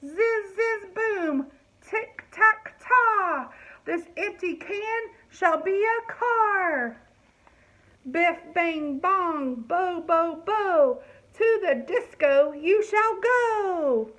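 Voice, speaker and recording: A woman's voice: very high voice, animated, very slow, a bit bright, pitched above the performer's own voice, full character voice, low-quality recording. A woman voicing a scruffy, bungling fairy godmother from a storybook who chants out rhyming spells. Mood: grand spell-casting.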